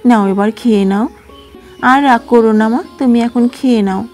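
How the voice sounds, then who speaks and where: A woman voicing a kind, patient young girl who pleads softly and sweetly. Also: fairly high pitch, moderate pace, soft background music, close to an everyday voice. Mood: coaxing.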